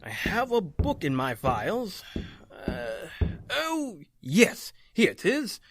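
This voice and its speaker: An actor playing an elderly scholarly doctor of the occult in old-time radio horror style, measured and musing, muttering hesitantly. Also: male voice, high-pitched, huge pitch swings, fairly bright tone, heavily acted. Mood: musing, curious.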